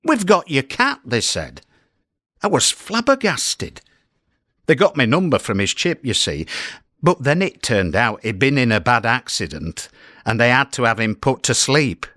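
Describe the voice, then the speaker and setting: British male cat owner played by an actor, chatty and wry, very lively intonation, close dry sound, comic radio monologue. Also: medium pitch, unhurried, dry recording, exaggerated voice. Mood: incredulous. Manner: wry, conversational storytelling with emphatic swoops. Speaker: actor performing a comedy monologue.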